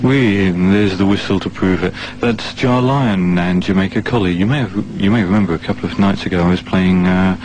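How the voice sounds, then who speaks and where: Low-voiced man presenting music radio. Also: moderate pace, few pauses, poor audio. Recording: 1980s off-air radio aircheck.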